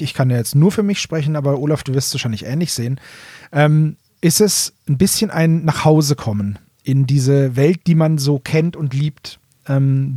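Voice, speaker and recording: A middle-aged German man with a slightly high voice co-hosts a podcast. He explains things in casual fan talk, often restating himself as he goes. The sound is dry and close. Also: mellow, dry recording. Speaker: podcast co-host reviewing audio dramas. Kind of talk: casual, enthusiastic fan chat.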